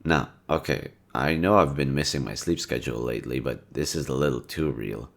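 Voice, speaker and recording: Very deep, gravelly young man. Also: brisk. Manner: laid-back, teasing, soft-spoken. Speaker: voice actor. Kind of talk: audio roleplay to a listener.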